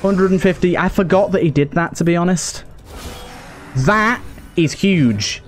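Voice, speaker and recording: A man's voice: high-pitched, measured pace. A man giving casual let's play commentary in an anxious, exasperated way, often repeating himself. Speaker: gaming YouTuber and host. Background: game music.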